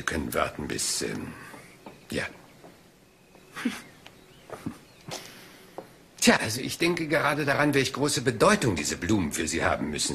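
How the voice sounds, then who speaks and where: A man's voice: extremely low pitch, vocal fry, pushed and bright, slight background noise, full character voice. A man playing a rumpled, polite but shrewd police inspector in a German crime audio drama, hesitant and mumbling as if absent-minded. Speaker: actor dubbing a police inspector.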